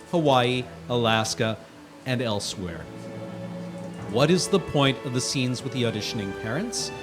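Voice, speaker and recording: Animated man reading a scathing review. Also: mid-range voice. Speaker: guest reader on a charity livestream. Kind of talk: dramatic reading of a written film review.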